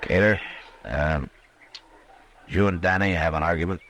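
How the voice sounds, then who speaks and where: An actor playing a steady, level-headed frontier marshal with an American accent, measured and authoritative, in an old-time radio western. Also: male voice, low-pitched. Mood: calm. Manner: measured, plain-spoken, authoritative.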